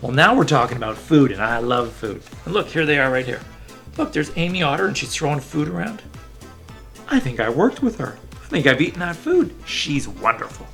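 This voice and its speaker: Animated, gravelly-edged man reading for kids. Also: mid-range voice, a little fast, soft background music. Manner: playful, enthusiastic, talking to young listeners. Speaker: children's book reader. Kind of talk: animated read-aloud storytelling with casual asides.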